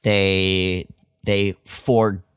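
A man's voice: low voice, flat delivery, drawn-out words, a bit bright, clean tone, no room sound. Dry, close-miked man chatting casually. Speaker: podcast co-host. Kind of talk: casual fan discussion of lore.

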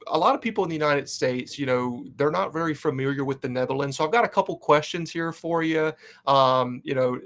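A man hosting a sports fan podcast, chatting in an upbeat, loose way with plenty of fillers. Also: fairly high pitch. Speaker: podcast host. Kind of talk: casual interview chat.

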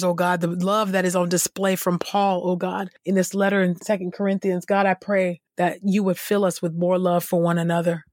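A woman reading scripture and praying aloud in an earnest, reverent, deliberate way. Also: lowish voice, dry recording, studio quality. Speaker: Bible reading podcast host. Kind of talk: scripture reading and spoken prayer.

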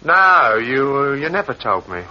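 A man's voice: mid-range voice, big pitch swings, a little slow, loud music behind the voice. An actor playing a nervous young shop assistant turned thief in an old radio drama, a man speaking hesitantly. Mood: falsely innocent, nervous. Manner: hesitant, jittery. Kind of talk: 1950s radio drama acting. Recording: dull, noisy old radio recording with clicks.

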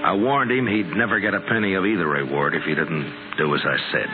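An actor playing a laconic, shrewd American lawman in a 1950s radio western, speaking in a measured, understated way. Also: deep voice, close to an everyday voice. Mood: grave, steady narration. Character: laconic, shrewd Old West lawman narrating his case. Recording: old muffled radio recording, loud music.

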